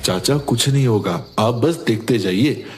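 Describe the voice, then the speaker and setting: A man voicing a calm, reassuring young village cook in a cartoon, polite and assured. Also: medium pitch, a little slow, close to an everyday voice. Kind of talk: Hindi cartoon story dialogue. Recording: background music under voice.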